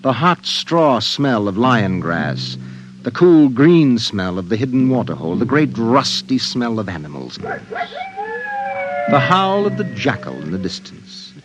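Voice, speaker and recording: An actor as a grave, poetic storyteller narrating an old-time science-fiction radio drama. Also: male voice, medium pitch, wildly swooping pitch, slow, highs cut off. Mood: ominous, vivid. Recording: music behind the narration. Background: music.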